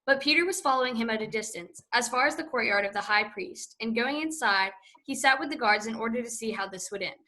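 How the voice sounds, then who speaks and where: Bright woman narrating scripture. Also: a little high, fast, hardly any pauses, low-quality recording. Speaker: narrator of a church reading. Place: church.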